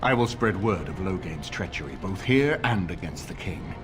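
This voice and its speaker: Casting: stately middle-aged fantasy game lord, low register, formal and authoritative, lively intonation. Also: male voice, normal speed, music in the background. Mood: grave, resolute. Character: grave older nobleman lord rallying allies against a usurper. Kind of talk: video game voice acting, dramatic dialogue.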